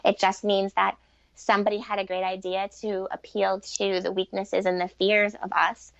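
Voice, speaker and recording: A woman's voice: mid-range voice, flat delivery, normal speed, vocal fry, no room sound, dull audio. A woman speaking conversationally as an interview guest on spiritual matters, explaining earnestly and repeating points for emphasis. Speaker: podcast guest, author and relationship expert.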